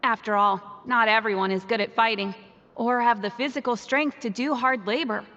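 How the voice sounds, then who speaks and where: A woman's voice: on the high side, moderate pace, bright, forceful tone, in a large hall, lo-fi sound. An actress playing a friendly, content female prisoner in a video game, explaining things in an easygoing way. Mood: content, reassuring. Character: friendly, content female prisoner and club member tidying books. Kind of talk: video game dialogue acting.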